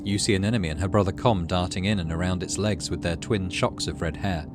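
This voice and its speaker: A man with a low-pitched voice hosts a story game playthrough. He reads the text aloud like dramatic narration and drops into casual commentary of his own. Game music plays clearly behind him. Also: fairly even intonation, fast talker. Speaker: gaming channel host. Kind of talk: dramatic read-aloud narration of a visual novel; casual let's play commentary between readings.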